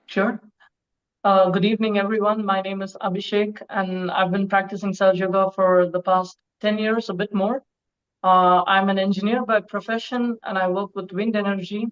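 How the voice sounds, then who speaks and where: A man's voice: high-pitched, level tone, normal speed, fairly bright tone, clean tone, dull audio. Man introducing himself. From south India. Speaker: presenter and musician. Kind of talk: self-introduction. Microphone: video call.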